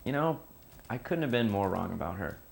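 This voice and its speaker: Actor as earnest admirer, low gravelly voice. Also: male voice, big pitch swings, a little fast, a little hiss or hum. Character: earnest, admiring man defending a misjudged woman. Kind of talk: naturalistic film dialogue, interview-like.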